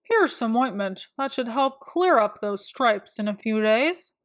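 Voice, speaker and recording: A woman's voice: fairly high pitch, expressive, a little slow. A woman voicing a fussy, bumbling male doctor in a children's storybook, with an emphatic, pompous way of talking. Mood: brisk, reassuring. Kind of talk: children's storybook read-aloud.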